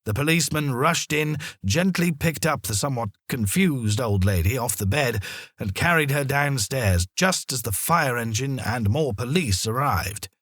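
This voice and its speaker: A man narrating a children's story in a dramatic, expressive manner. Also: mid-range voice, normal speed, no room sound, clean studio sound.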